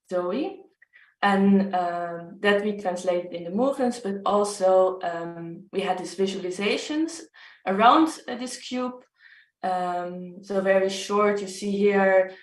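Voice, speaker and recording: A woman's voice: mid-range voice, distant mic. Hesitant woman on an echoey video call. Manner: hesitant, many fillers. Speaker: artist presenting her project. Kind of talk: casual presentation on a video call.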